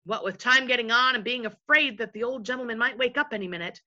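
A woman's voice: fairly high pitch, fast talker, bright, forceful tone, dull audio, voice pushed up. A woman voicing an invisible male chief of servants in a storybook, folksy, self-important and rambling as a storyteller. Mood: rambling, confiding.

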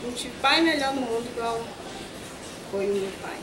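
A middle-aged woman speaks gratefully and a little haltingly, pausing to find her words. Her voice sits at a middle pitch. Heavy background noise runs under the whole recording. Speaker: interviewee. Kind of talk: interview testimony. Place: indoors in front of a flag backdrop.

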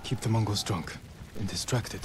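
Guy acting stern samurai lord in a game, low terse voice, really noisy behind. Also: moderate pace, lightly acted. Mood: grave. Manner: terse, grave. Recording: game audio with loud background noise.

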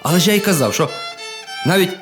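A man telling a humorous folk tale in a colloquial, expressive, folksy manner. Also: high-pitched, quick pace. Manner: colloquial, expressive, with chuckles and exclamations. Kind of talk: folksy humorous oral storytelling. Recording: music behind the voice.

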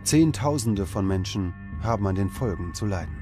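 A man reading scripted documentary narration in a measured, dramatic manner. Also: lowish voice, lively intonation. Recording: music behind the voice. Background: background music.

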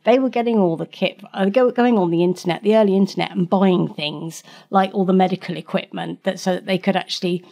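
A woman's voice: fairly low pitch, fairly quick, vocal fry, few pauses, mellow. A middle-aged woman answering interview questions in a chatty way, with frequent restarts. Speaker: guest expert.

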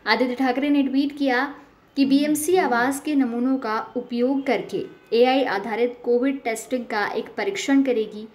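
A woman's voice: fairly high pitch, a little mellow. A woman presenting the news in a scripted narration style.

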